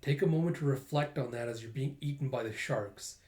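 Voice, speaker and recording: A man's voice: medium pitch, a little fast, dark tone, lightly acted. Man playing a stern, disdainful old soldier. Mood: cold disdain. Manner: dry, formal disdain. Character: stern old soldier, male director, dryly menacing. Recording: roomy echo.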